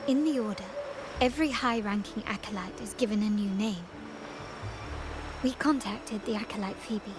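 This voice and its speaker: An actress playing a poised, hospitable yet menacing woman of a powerful family in a video game, speaking formally and deliberately. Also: mid-range voice, background noise, lightly acted. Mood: serious, explaining. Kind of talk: video game story voice acting. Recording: music playing behind the voice. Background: game music.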